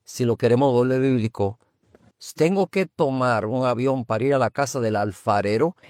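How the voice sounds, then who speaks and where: A man's voice: mid-range voice, normal speed, darkish tone, dry recording, studio quality. A man who hosts as a pastor, jumping in with short agreements and explaining points in a conversational tone. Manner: affirming, interjecting with short agreements. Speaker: host, pastor. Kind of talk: conversational interview.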